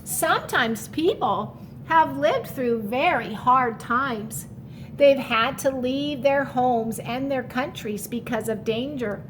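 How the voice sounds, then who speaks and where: A woman with a high voice reads a children's picture book over clearly audible background noise. She takes her time with each line. She adds simple, encouraging asides straight to the young listener. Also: slow pace, poor audio. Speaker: storytime reader for children.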